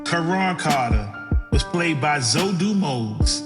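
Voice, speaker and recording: A man's voice: on the high side, animated, slow. A man reading scripted credits as an announcer. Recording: reverberant, loud music under voice. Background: loud music.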